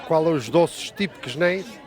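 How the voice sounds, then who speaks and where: A man's voice: fairly high pitch, expressive, fast, a crowd in the background. Middle-aged man asking leading questions. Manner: leading questions ending in tag questions. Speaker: community radio interviewer. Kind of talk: interview questions. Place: outdoor festival.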